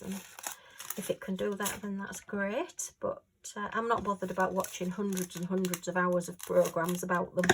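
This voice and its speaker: A woman chatting casually at home while she works on a craft, rambling and homely with lots of fillers, her voice a little hoarse. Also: medium pitch, slight background noise, rough recording. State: slightly hoarse. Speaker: crafting vlogger.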